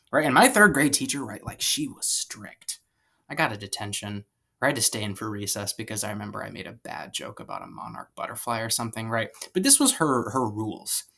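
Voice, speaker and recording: A man's voice: lowish voice, creaky, bright, forceful tone. A man teaching a history lesson in a conversational, rambling way, with frequent fillers and asides. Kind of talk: classroom lecture.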